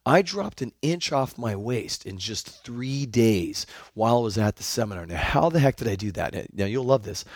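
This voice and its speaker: Hoarse upbeat man hosting a podcast. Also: mid-range voice, no echo, clean studio sound. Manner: upbeat, enthusiastic coaching tone. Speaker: podcast host. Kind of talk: solo podcast monologue.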